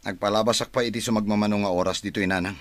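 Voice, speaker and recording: Guy playing glum filipino grown son in a radio drama, lowish voice, evasive, dry sound. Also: fairly even intonation, very fast, no room sound, close to an everyday voice. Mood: glum, irritated. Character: troubled married adult son, glum, evasive. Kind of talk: Ilocano radio drama acting.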